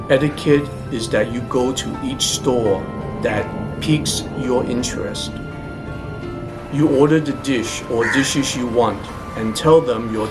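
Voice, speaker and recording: A man reading scripted travel narration in a deliberate, explanatory way. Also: mid-range voice. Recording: voice over clear background music. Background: music.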